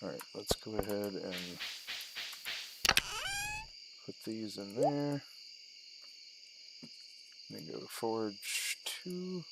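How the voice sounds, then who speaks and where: Man, casual game streamer, slow-paced, swinging pitch, thinking aloud in fragments, heavy noise with clicks behind. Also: very animated, creaky. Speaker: gaming video host. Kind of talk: casual game playthrough commentary. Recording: loud background noise with clicks.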